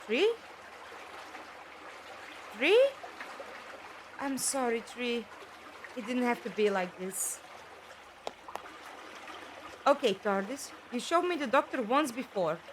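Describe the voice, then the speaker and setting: A woman playing a defiant young companion in an audio drama, youthful and expressive, with earnest, pointed questioning. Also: fairly high pitch, slow pace, pauses often. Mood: anxious, talking to herself. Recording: background ambience noise.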